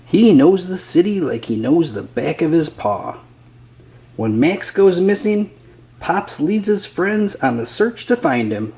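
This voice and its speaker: A man's voice: a little high, big pitch swings, a little slow, a little mellow. A man reading a children's picture book aloud as a scripted narrator.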